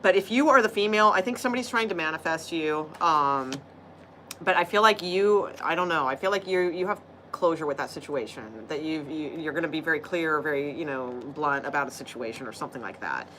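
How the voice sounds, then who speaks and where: A woman's voice: deep voice, fairly quick, a bit bright, a little hiss or hum. Chatty woman reading tarot cards. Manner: rambling, chatty, laughs often, frequent fillers. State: losing her voice. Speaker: tarot reader. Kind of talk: casual live tarot reading.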